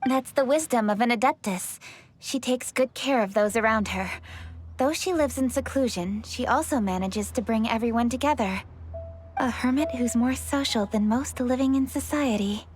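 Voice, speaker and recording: A woman acts a young female official with a high, composed voice. She is articulate, dutiful and sincere, in a polished game cutscene style with soft music underneath. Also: moderate pace, natural voice.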